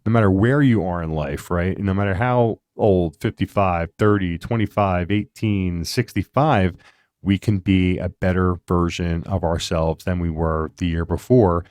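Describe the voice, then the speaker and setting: A man in his fifties hosting a podcast, talking in a chatty, rambling way with animated asides. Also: low voice, moderate pace, dry recording, clean studio sound. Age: 50s. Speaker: podcast host. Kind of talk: casual interview chat.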